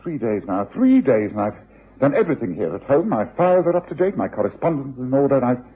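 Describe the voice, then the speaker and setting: An actor playing a bored, brilliant, self-assured London detective in a radio drama, with a British accent and a husky voice. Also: male voice, medium pitch, very animated, fast talker. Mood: bored, restless. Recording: thin, narrow-band old radio recording.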